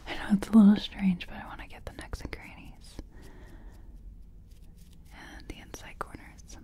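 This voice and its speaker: Soft asmr lady whispering, breathy n low-key, flat mellow tone, kinda hesitant makeup artist roleplay. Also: a little slow. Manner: hesitant, murmuring to herself. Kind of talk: soft-spoken ASMR roleplay, personal attention.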